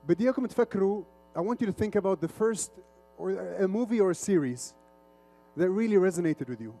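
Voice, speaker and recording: Fast, higher-voiced man on stage. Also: lively intonation, dark tone, soft background music. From Lebanon, grew up in Saudi Arabia. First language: Arabic. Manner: informal, switches between Arabic and English. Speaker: conference speaker, media executive. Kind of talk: interactive stage presentation to an audience.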